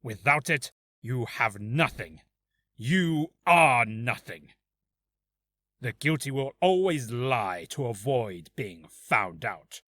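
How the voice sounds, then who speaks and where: A man playing a cold, imperious prosecutor from a video game, measured and contemptuous. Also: medium pitch, lively intonation, sharp tone, no echo, exaggerated voice. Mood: cold contempt. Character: cold, imperious male prosecutor obsessed with guilty verdicts. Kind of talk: dramatic video game character acting.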